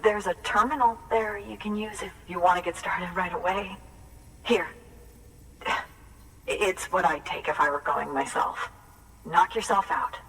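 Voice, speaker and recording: An earnest, anxious woman photographer in a video game story. Her voice is on the low side and she speaks in a heartfelt, hesitant way. It sounds slightly distant, with a faint noise underneath. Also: unhurried, pauses often. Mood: matter-of-fact. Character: earnest, anxious female photographer carrying on her late father's research. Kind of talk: naturalistic video game cutscene acting.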